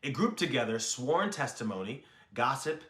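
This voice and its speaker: A man reading a scholarly history text aloud as a narrator, in a measured, emphatic and formal delivery. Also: medium pitch, sing-song, a bit bright. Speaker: audiobook reader. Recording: echoey room.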